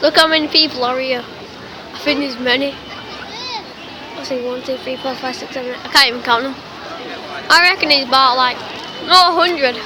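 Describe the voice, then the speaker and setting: Excitable high-voiced woman vlogging outdoors. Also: moderate pace, pauses often. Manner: excitable, repeats exclamations, says mate a lot. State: slightly croaky voice. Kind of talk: excited handheld vlog chatter. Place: outdoor bonfire event with a big crowd. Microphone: handheld phone camera. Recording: outdoor phone audio with crowd and noise. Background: crowd chatter and outdoor noise.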